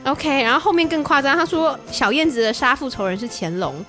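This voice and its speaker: High, animated Taiwanese woman bantering. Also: faint music behind. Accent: Taiwanese Mandarin. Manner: playful, exclamatory, quick to laugh. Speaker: podcast co-host. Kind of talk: casual banter.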